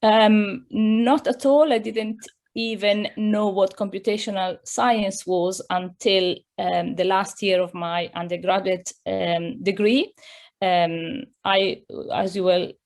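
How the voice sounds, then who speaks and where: A woman's voice: medium pitch, steady tone, slow, darkish tone. A middle-aged woman from Italy with an Italian accent, a director answering interview questions over a video call, careful and full of fillers. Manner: careful, with many fillers and restarts. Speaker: director of a computing science centre, guest. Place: home room.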